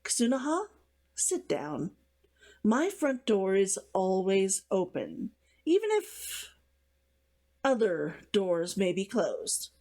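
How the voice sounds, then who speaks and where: A blunt, protective man from a fantasy novel, read by a woman. He gives orders in an exasperated, commanding way, with lively swings in pitch. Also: slow, full character voice. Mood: firm.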